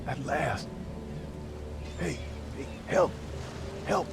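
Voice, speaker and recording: A man's voice: mid-range voice, extremely slow, gravelly, over music. An actor playing a terrified male survivor trapped by sea monsters, pleading and stammering for help. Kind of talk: video game cutscene acting.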